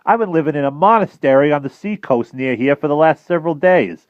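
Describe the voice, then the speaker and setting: A man's voice: on the high side, expressive, brisk, dry recording, lo-fi sound, voice pushed up, lightly acted. A man doing a sly American posing as an admiral. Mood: hurried. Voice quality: sly, smooth.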